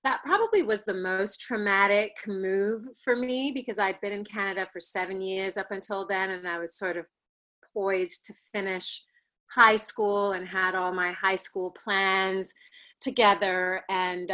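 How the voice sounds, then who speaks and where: A woman's voice: mid-range voice, steady tone, measured pace, pushed and bright. Thoughtful woman guest with frequent ums. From Ghana. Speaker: plastic surgeon guest. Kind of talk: interview, life story.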